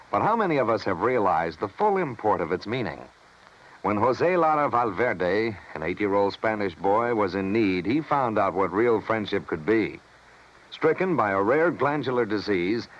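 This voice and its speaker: A man's voice: mid-range voice, a bit bright. A man announcing in 1950s radio style, reading scripted narration in an earnest, formal, dramatic way. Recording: dull vintage radio recording.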